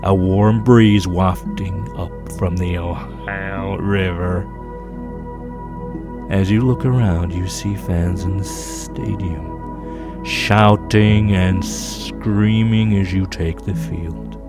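A man voicing a soothing hypnotherapist who leads a slow, hushed guided relaxation in comic parody. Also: low-pitched. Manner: slow, hushed, calming guided-imagery delivery. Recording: new-age music bed behind voice. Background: soft new-age music.

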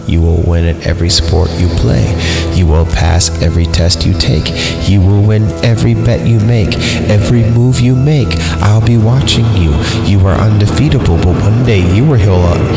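A man's voice: deep voice, normal speed, gravelly. A man hosting a podcast, reading a horror story irreverently with sweary, goofy asides and mocking ad-libs. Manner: sweary, goofy, breaks off to ad-lib and mock the text. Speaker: podcast host. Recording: long reverb, loud music behind. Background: eerie film score music.

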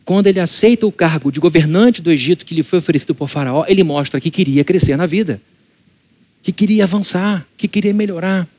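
Lively Brazilian pastor preaching. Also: male voice, high voice, fairly quick, no echo. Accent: Brazilian Portuguese. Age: adult, married. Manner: lively and conversational, rhetorical questions to the congregation. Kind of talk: church sermon.

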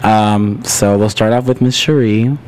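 A man's voice: fairly low pitch, a little slow, a little mellow. A man hosting a casual podcast chat with friends, teasing and playful, with hesitant restarts. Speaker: podcast host.

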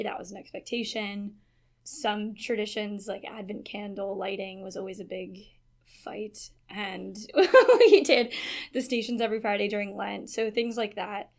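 A twenty-year-old woman talks in an earnest, thoughtful way while answering interview questions. She is a student. Her voice has an ordinary middle pitch. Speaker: theology student.

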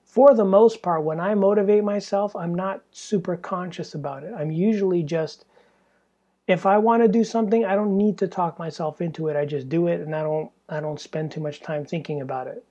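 Hoarse tired young guy rambling on his podcast, husky dark voice, swears a lot. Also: sits high, a little fast, highs cut off. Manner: rambling, candid, swears freely. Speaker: podcast host. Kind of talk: casual solo podcast monologue. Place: small stuffy indoor room.

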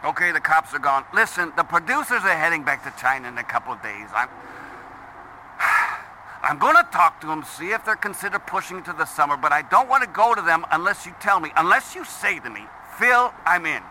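A man acting as an impatient, profane, high-strung showbiz agent in a satirical audio drama, blunt and exasperated, on a phone call from a car. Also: big pitch swings, a little fast, sharp tone, slight background noise, exaggerated voice. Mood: pressing.

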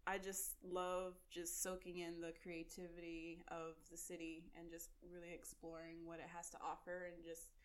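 A woman's voice: lowish voice, completely flat delivery, moderate pace, few pauses. A woman from Chicago, a guest on a casual podcast, bubbly and giggly, talking with many ums. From Chicago, USA.

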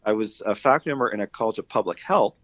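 Canadian guy talking fast in an interview, rambly, dry muffled lo-fi audio. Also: lowish voice, animated, no echo. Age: middle-aged. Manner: rambling, peppered with "you know" and "kind of". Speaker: guest, university pharmacy dean. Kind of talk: conversational interview answers. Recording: dull, lo-fi voice track.

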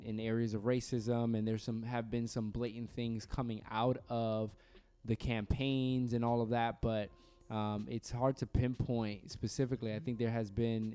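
Male podcast co-host from New York, mellow and smooth, easygoing and conversational. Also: medium pitch. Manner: relaxed, conversational, hedging. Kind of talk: casual podcast discussion. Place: studio room across from co-host.

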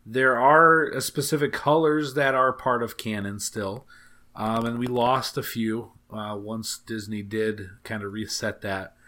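A man with a raspy voice hosting a podcast, talking casually and rambling with many fillers. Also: medium pitch, a bit bright. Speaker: podcast host.

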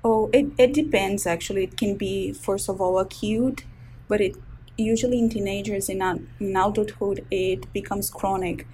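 A woman's voice: mid-range voice, fairly even intonation, normal speed, mellow, poor audio. Woman, Brazilian Portuguese accent, thirties, physician, careful explanations, many ums, faint hiss behind. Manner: explains medical terms carefully with frequent hesitations. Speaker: resident physician and researcher. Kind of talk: interview answers.

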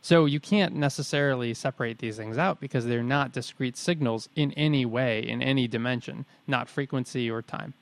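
A young adult man with a mid-pitched voice co-hosts a casual podcast. He is wry, slips in jokey asides and rephrases ideas to check them. The recording is dry and close. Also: moderate pace, vocal fry, few pauses, no room sound. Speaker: podcast co-host. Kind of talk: casual explanatory chat.

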